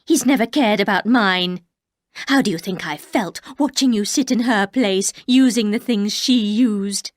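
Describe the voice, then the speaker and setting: A woman voicing a bitter, menacing older housekeeper who speaks slowly and coldly, often dropping to a whisper. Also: no echo, studio quality, close to an everyday voice. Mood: cold menace. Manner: slow, low, cold, often whispered.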